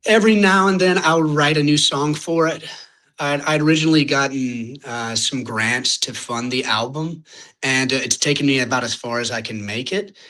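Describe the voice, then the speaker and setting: A young man with a Louisiana accent talks in a relaxed, unhurried way as a podcast guest. His voice is bright and clear at a middle pitch. He wanders through his thoughts and often stops to hunt for the right word. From Louisiana, United States. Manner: rambling, searching for words, many restarts. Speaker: podcast guest, singer-songwriter. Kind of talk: casual interview chat.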